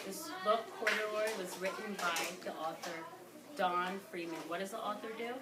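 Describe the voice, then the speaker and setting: A woman's voice: medium pitch, fairly even intonation, normal speed. A woman teacher reading aloud to young children in an interactive way, questioning and instructing them as she goes. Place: classroom with children. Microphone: phone camera at a distance. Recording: distant, echoey, very noisy phone recording.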